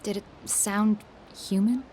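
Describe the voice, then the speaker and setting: A woman's voice: mid-range voice, fairly even intonation, slow pace, darkish tone, slight background noise, natural voice. A woman playing an earnest public radio field reporter with a composed, careful journalistic delivery. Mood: probing. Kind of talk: scripted news narration and interviewing.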